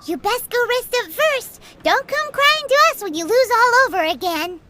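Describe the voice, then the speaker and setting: A woman voicing a tiny, cheeky, excitable childlike fairy companion in a squeaky voice for a video game. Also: moderate pace, noisy background. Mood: cheeky, scolding. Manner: childlike, refers to herself by name. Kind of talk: English game dub acting. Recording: background music under the voice.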